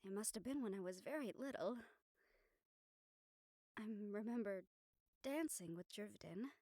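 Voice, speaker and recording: A woman playing an affectionate, scheming young noblewoman in an intimate audio roleplay, speaking formally and measuredly with thoughtful pauses. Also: medium pitch, animated, warm tone, no echo, clean studio sound. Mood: wistful, pensive. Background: background music.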